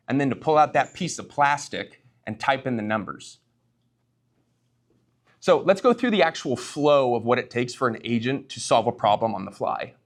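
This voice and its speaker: Man giving a conversational tech talk. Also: medium pitch. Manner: rhetorical questions, addresses audience directly. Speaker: startup founder, conference speaker. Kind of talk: conversational tech meetup presentation.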